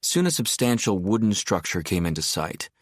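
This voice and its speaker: Reflective architect, very low voice, dry close recording, measured literary audiobook storytelling. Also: male voice, sing-song, no echo. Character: reflective male architect narrating his arrival in a seaside town. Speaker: audiobook narrator. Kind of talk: first-person literary audiobook narration.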